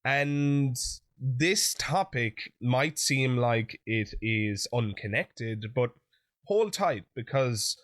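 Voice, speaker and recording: A man's voice: medium pitch, expressive, extremely slow, fairly bright tone. Guy doing his channel intro, bit chesty and sniffly, chatty, talks right at the viewer. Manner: chatty, direct address to viewers. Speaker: YouTube channel host. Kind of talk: opinion commentary monologue.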